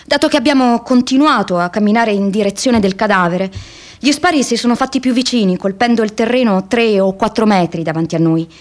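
A woman's voice: mid-range voice, a little fast, close to an everyday voice. An actress playing an idealistic young American woman activist in a radio theatre monologue, telling her diary expressively in the first person. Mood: grave recounting. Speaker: stage actress.

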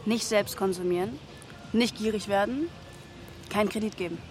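A woman's voice: medium pitch, moderate pace, soft background music, lightly acted. An actress in her twenties playing a restless young bar keeper and student in a naturalistic radio drama, with brief, understated replies. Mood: curious, nervous. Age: 20s.

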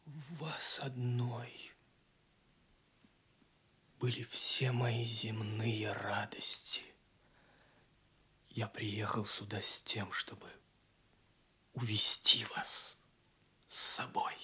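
Husky, whispering lovelorn knight. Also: male voice, lowish voice, level tone, extremely slow, pauses often, sharp tone, full character voice. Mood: anguished pleading. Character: despairing knight pleading with his beloved queen. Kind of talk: Soviet audio play acting.

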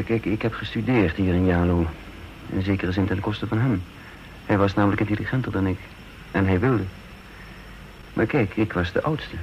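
An actor playing a brooding, guarded undercover patriot who narrates his own story in a deliberate, confiding Dutch radio drama style. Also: low-pitched. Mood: hesitant confiding. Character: brooding undercover patriot man, self-reproaching, tense and guarded. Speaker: narrator and lead character of a radio serial. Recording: dull, noisy old radio recording.

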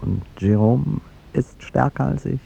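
Dark-voiced, ageing, resigned gentleman. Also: medium pitch, unhurried, vocal fry, a little hiss or hum. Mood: melancholy. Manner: hesitant, wistful, murmured replies. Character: ageing, resigned, courteous gentleman president in love. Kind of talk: 1950s German radio drama acting. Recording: dull 1950s radio recording, music behind.

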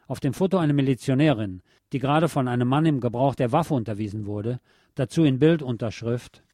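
Male documentary narrator, mid-pitched, dark mellow tone, measured factual read from a script, very dry sound. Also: dry recording. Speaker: radio presenter reading a historical feature.

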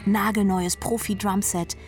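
A woman's voice: medium pitch, level tone, a little slow, darkish tone, faint music behind, lightly acted. A woman acting a wry, reckless rock drummer who narrates her own story in a radio drama with sardonic, clipped asides. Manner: sardonic, clipped asides, often dropping to whisper.

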